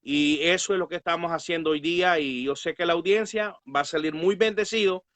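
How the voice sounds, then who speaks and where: High-voiced pastor, earnest. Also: male voice, brisk, fairly bright tone, no echo. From Guatemala. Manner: earnest, pious, peppered with amens. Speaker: pastor and Bible teacher, guest. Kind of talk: devotional religious talk.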